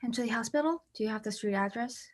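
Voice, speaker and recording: A woman's voice: a little high, quick pace, close to an everyday voice. A woman playing a formal, impassive telephone information operator in a 1940s-style radio drama, with a detached manner. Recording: noisy, clicking background.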